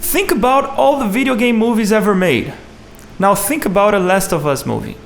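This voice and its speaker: High-pitched man narrating a video essay. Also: animated, moderate pace, gravelly. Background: music.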